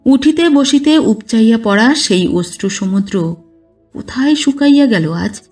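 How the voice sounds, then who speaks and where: A woman reading a literary story aloud as a narrator, with expressive, dramatized delivery. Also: on the high side, unhurried, distant mic. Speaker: audiobook narrator. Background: background music.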